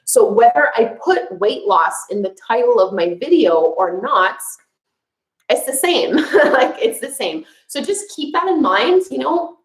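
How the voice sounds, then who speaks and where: A woman's voice: fairly high pitch, very animated, measured pace, reverberant, rough recording. A young woman from Quebec, a French speaker with a Quebec French accent, hosting a casual live chat as a yoga instructor, chatty, with frequent fillers and laughs. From Quebec, Canada. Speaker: yoga instructor, channel host. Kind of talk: live chat answering viewer questions.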